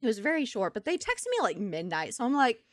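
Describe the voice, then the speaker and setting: A woman streamer chatting casually with her live chat, telling stories in an animated way and laughing often. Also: a little high, a little fast, no room sound, clean studio sound. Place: home streaming setup.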